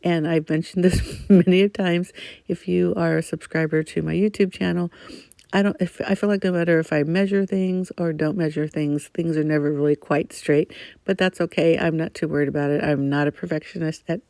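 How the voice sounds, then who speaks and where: Brief: middle-aged woman, crafting voice-over, rambling, slightly gravelly, dry close sound. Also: sits low, fairly even intonation, a little fast, mellow, no echo. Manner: rambling, chatty, many ums. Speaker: scrapbooking channel host.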